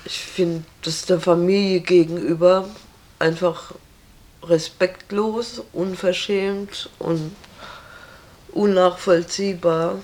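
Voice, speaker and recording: A woman's voice: on the low side, steady tone, slow pace, a little mellow. A woman answering interview questions in a blunt, firm and direct way.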